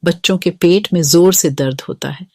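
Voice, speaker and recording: A woman's voice: fairly low pitch, normal speed, noisy background. A woman narrating a scripted cartoon story as the storyteller.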